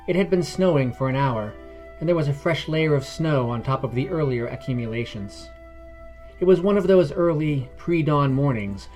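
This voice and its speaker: A man's voice: mid-range voice, darkish tone. A man reading scripted fantasy narration as a storyteller.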